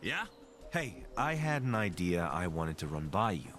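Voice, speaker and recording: An actor playing a wry, wounded wasteland companion in a video game, speaking in a casual, hesitant way. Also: male voice, low-pitched, sing-song, measured pace, background noise. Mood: easygoing.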